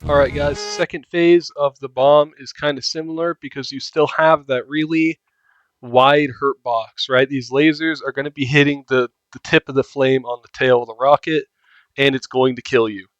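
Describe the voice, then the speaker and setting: A man's voice: mid-range voice, fairly even intonation, normal speed, a bit bright, no room sound, dull audio. A man with a mid-range voice narrates a video game guide. His delivery is informal and conversational, addressed straight to the viewers as he walks them through the gameplay. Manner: informal, talking directly to viewers. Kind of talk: casual video game walkthrough commentary.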